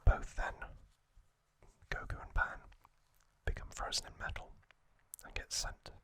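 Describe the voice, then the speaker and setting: A man hosts ASMR in a breathy, unvoiced whisper. The sound is very crisp and bright, with no chest tone to it. He explains things very slowly and haltingly, pausing often between short phrases. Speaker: ASMR host. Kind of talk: whispered ASMR trivia recap, unscripted.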